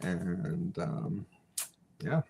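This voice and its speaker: A young man co-hosts a live stream from home over a video call, his voice stuffy and congested from a cold. He speaks in a low register, laid-back and hesitant. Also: very slow, creaky, crowd noise. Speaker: co-host. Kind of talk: casual live-stream chat. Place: home room.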